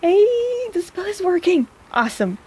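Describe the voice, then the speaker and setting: A woman's voice: very high voice, big pitch swings, moderate pace, a little mellow. A woman playing a snarky but caring apothecary in an intimate fantasy audio roleplay, chatty and teasing in a casual way. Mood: excited, amazed.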